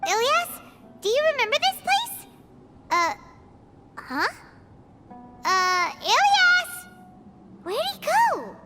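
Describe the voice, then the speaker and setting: A woman voicing a tiny, curious, fretful fairy-like girl companion with a squeaky childlike voice in a video game. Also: wildly swooping pitch, takes it slowly, pauses often, exaggerated voice. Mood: puzzled, uneasy. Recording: loud music behind the voice.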